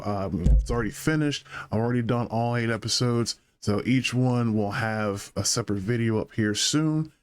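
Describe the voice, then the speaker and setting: A man hosting a casual solo reaction vlog, talking through his impressions as a reviewer. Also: fairly low pitch. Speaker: YouTube host giving episode reactions.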